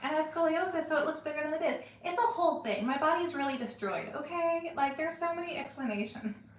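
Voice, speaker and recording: A woman in her forties chats on a live stream, joking about herself. Her voice sits a little high and rings in a roomy space. A faint hiss sits under it. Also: quick pace. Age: 40s. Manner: chatty, self-deprecating. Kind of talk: casual chat.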